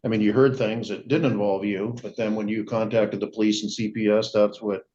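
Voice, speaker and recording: A man with a fairly low voice presides as a judge over a hearing. He asks his questions informally, with halting, conversational phrasing, and the courtroom audio is somewhat dull and lo-fi. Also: little pitch movement, darkish tone.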